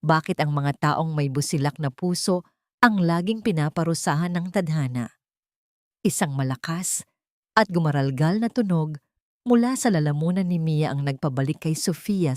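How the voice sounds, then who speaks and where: A woman's voice: deep voice, a little mellow, no room sound, clean studio sound. A woman reading scripted, dramatic narration as the storyteller of an audio drama.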